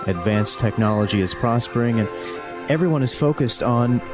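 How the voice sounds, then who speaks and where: An actor playing a grave announcer, narrating a science-fiction audio movie in a portentous, dramatic way. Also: male voice, medium pitch, normal speed, lightly acted. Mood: solemn, portentous. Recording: music behind the voice.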